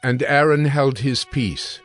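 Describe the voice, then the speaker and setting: A male narrator with a mid-range voice reads scripture as a dramatized story. His delivery is measured and solemn, and music plays beneath it. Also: mid-range voice. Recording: background music under narration.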